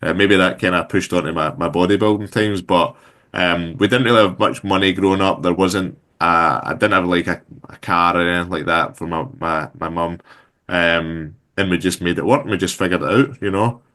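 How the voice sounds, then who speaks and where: A man's voice: sits low, a little fast. A man in his thirties with a Glaswegian Scottish accent, chatting casually as an interview guest, loose and rambling with lots of fillers. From Scotland. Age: 30s. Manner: relaxed, rambling with many fillers. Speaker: guest entrepreneur.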